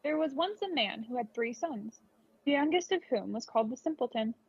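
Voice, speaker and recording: A woman's voice: high-pitched, animated, fairly quick, vocal fry, breathy edge. A woman reading a scripted children's story aloud. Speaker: storybook reader. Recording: dull lo-fi recording.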